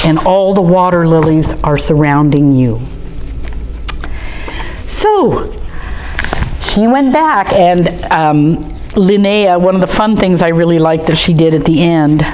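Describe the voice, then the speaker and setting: A middle-aged woman reading and chatting through a children's storytime at an art museum, gently enthusiastic as she shows pictures. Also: lowish voice, a little hiss or hum, poor audio.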